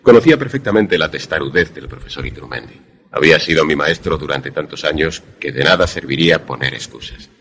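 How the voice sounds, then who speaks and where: An actor in a suspense radio drama playing a courteous former pupil, speaking in a formal, literary manner. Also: male voice, extremely low pitch, expressive, a little fast, a bit bright, heavily acted. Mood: measured, suspenseful. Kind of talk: radio drama narration and dialogue.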